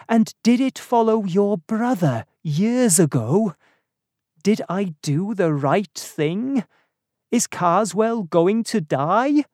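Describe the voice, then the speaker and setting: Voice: mid-range voice, a little mellow, no echo, studio quality, pitched above the performer's own voice. A narrator voicing a worried, earnest man in a storybook, speaking anxiously and carefully. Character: worried, earnest Englishman afraid of a curse.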